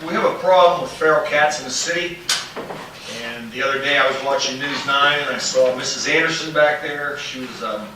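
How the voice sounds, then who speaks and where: A man's voice: mid-range voice, a little fast. A man chairing a city council safety meeting, talking hesitantly with many ums and restarts. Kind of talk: public meeting discussion. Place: council chamber. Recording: very echoey hall, distant, some noise.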